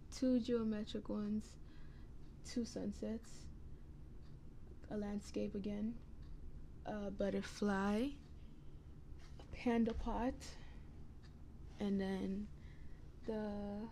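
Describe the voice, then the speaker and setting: Young woman with scratchy, creaky voice. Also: mid-range voice, mellow. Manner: hesitant, meandering. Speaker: podcast host. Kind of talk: casual rambling solo chat. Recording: noticeable background noise.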